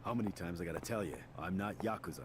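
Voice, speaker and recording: An actor voicing a gruff, menacing male gangster in a video game, with a gravelly voice. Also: sits low, quick pace, noisy background, natural voice. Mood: scornful. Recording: roomy game clip with ambience.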